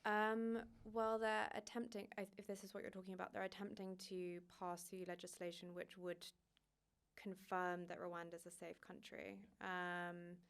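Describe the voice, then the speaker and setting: A woman's voice: on the low side, flat delivery, moderate pace, clean tone. A woman, a migration journalist, answering interview questions thoughtfully, hedging with fillers and restarts.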